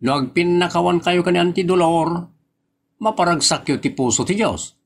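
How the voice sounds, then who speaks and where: A man's voice: high voice, normal speed, creaky. A man playing a teasing nephew in a comedy audio drama, talking in a playful way. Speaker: nephew teasing his aunt.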